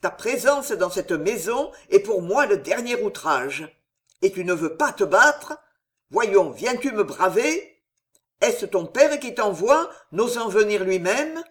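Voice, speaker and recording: A reader voicing a raging, bitter old father and captain who growls loud commands in a storybook. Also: medium pitch, expressive, takes it slowly. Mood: enraged.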